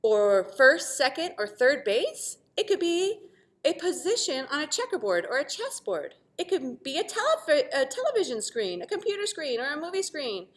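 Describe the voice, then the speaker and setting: A woman teacher reading a storybook expressively to children, pausing to explain simply and ask questions. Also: sits high. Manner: animated, asks the listeners questions.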